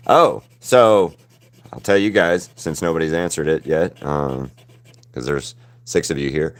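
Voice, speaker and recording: Very deep-voiced man with mild Southern drawl. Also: unhurried. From Southern United States. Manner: rambling and loose, swears casually. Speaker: artist streaming live. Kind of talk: casual chat while painting.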